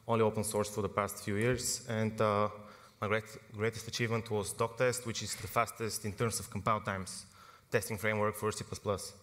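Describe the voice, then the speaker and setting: A man's voice: fairly low pitch, little pitch movement, brisk, a bit bright. A young man from Bulgaria giving a technical conference talk, informal, with frequent fillers and self-corrections. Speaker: conference speaker. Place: large conference hall. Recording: long hall reverb.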